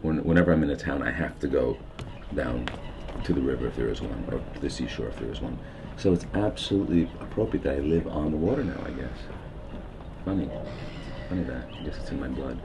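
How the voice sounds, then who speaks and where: A man's voice: extremely low pitch, brisk, vocal fry, noisy background. A middle-aged man with a New York accent, reflecting at length in a documentary interview, musing and meandering with fillers and restarts. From United States. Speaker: documentary subject. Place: houseboat on a river.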